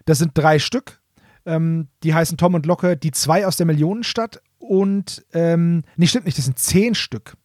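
A man co-hosting a podcast in casual chat, often halting and repeating the starts of his phrases. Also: a little high, darkish tone, no room sound, clean studio sound. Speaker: podcast co-host. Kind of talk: casual chat about audio dramas.

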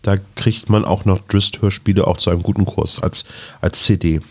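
A man's voice: low-pitched, fairly even intonation, moderate pace, darkish tone, dry recording. A man co-hosting a podcast in a casual, informal fan chat. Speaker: podcast co-host.

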